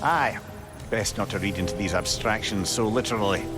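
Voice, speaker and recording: A man's voice: lowish voice, normal speed, over loud music, close to an everyday voice. A man with a Scottish accent playing a wry, learned old sage telling tales in a dramatic video game cutscene. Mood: cautioning. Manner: wry, expressive, storytelling.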